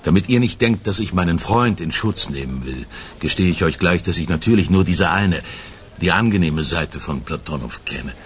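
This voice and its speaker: Radio crime drama: composed, self-assured senior detective, very low register, deliberate pauses. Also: male voice, animated, a little hiss or hum. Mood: frank, measured. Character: self-assured male police lieutenant colonel from economic crimes. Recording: music playing behind the voice.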